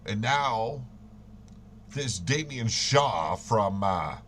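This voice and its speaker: Animated, folksy man with crude banter. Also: on the low side, very slow, a little hiss or hum. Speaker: co-host of a wrestling podcast. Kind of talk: casual wrestling storyline banter.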